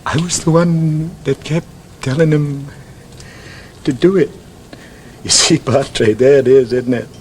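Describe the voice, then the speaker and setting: An actor playing a guilt-ridden townsman in a halting, theatrical, anguished confession from a vintage drama. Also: mid-range voice, darkish tone, lightly acted. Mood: anguished confessing. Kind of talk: 1950s television drama acting. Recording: noisy vintage broadcast drama audio.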